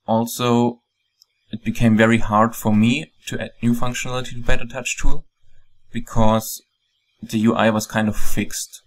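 A man with a German accent, a software developer, calmly walking through a program on a screen recording with frequent ums. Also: medium pitch, moderate pace. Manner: calm, plain explaining with frequent ums.

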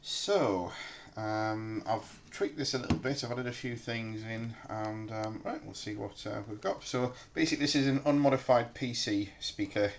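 A man giving a casual, halting demonstration at a workbench, recorded on a handheld camera. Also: lowish voice, fairly even intonation. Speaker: hobbyist electronics builder presenting. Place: indoors at a cluttered workbench. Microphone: handheld camera microphone. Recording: noisy handheld camera in room.

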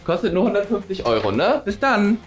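A woman's voice: medium pitch, big pitch swings, fairly quick, music in the background, natural voice. A woman playing a vain, dim, laid-back DJ father in an improvised comedy sketch, talking in casual slang. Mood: upbeat.

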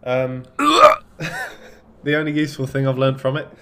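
A man's voice: mid-range voice, little pitch movement, normal speed. A man co-hosting a podcast, chatting casually with friends. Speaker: podcast co-host. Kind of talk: casual banter among friends.

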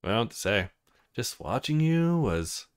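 A man's voice: medium pitch, very animated, normal speed, clean tone, close to an everyday voice. Man playing an easygoing, awkward young student. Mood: admiring. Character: awkward, easygoing male student, supportive of his girlfriend.

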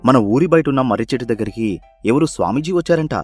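A man's voice: mid-range voice, expressive, fast, darkish tone, no room sound, faint music behind, natural voice. A man voicing an earnest young son in a cartoon moral story, respectfully persuading his father. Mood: persuasive.

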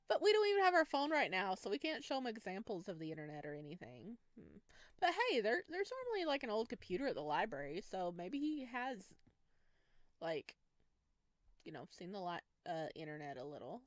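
A woman's voice: mid-range voice, sing-song, normal speed, pauses often, dry recording, clean studio sound. A woman doing a visual novel let's play, reading aloud and reacting in a chatty, playful, giggly way. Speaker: game streamer.

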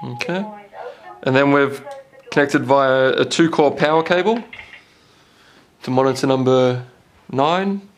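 A man's voice: mid-range voice, unhurried, long pauses. A man giving an instructional product demonstration as a company presenter. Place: small indoor meeting room. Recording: clearly noisy room recording.

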